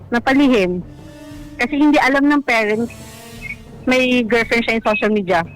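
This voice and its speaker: A woman's voice: fairly high pitch, normal speed, poor audio. A woman with a Filipino accent recounting a personal story in a radio interview, conversational and a little hesitant, slipping in English words. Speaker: caller telling own love story. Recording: music behind the voice.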